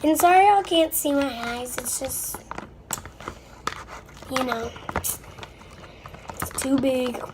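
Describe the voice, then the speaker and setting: Stuffy high-voiced young girl. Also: animated, takes it slowly, pauses often. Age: child. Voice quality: stuffy, congested nose. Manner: rambling, apologetic. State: stuffed-up and under the weather. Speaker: slime video maker. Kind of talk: casual chat to viewers while crafting. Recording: noisy amateur recording with clicks.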